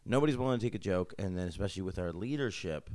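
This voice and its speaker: A man's voice: low voice, animated, rapid-fire, darkish tone, no room sound. A young man hosting a podcast in a friend's apartment, talking in a loose, rambling, joking way and acting out little asides. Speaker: podcast host. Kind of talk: casual interview chat.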